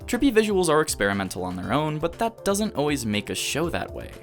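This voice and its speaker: A man delivering scripted, analytical video essay narration in a measured, emphatic, rhetorical way. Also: fairly high pitch, wildly swooping pitch. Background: background music.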